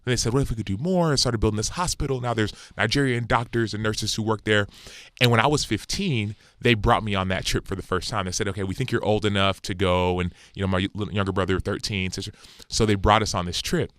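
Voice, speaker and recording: Man, 30s, from Texas, quick pace, slightly low voice, earnest. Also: a bit bright, dry recording, studio quality. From United States, Texas. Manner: earnest, repeats key phrases for emphasis. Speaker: guest, former pro football player. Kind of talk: conversational interview answers.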